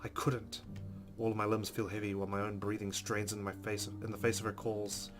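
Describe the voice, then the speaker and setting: A man's voice: fairly low pitch, monotone, brisk, over music. A man hosting a let's play, reading story text dramatically and adding casual commentary.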